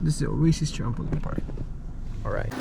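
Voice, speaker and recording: Filipino-accented man over loud road noise. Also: on the high side, a little fast, vocal fry. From Philippines. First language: Tagalog. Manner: playful, laughs often. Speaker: vlogger. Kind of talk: casual vlog chat.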